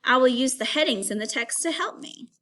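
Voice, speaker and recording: A woman teacher reading aloud to young pupils and explaining as she goes, in an instructive, clearly phrased way. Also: high voice, animated, brisk, sharp tone. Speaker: elementary school teacher. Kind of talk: read-aloud reading lesson for children.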